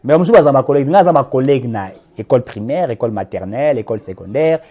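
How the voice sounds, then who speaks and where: Man, Congolese accent, dark relaxed timbre, medium pitch, emphatic political talk, repetitive phrasing, bilingual mixing. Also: fast. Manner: emphatic, repeats himself for emphasis, mixes two languages. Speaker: political activist, interview guest.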